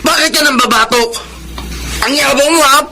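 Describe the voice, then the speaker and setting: A man's voice: very high voice, normal speed, background noise. A man playing a cocky, pushy young college bully with a taunting Filipino voice in a radio drama. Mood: aggressive. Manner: taunting, sneering.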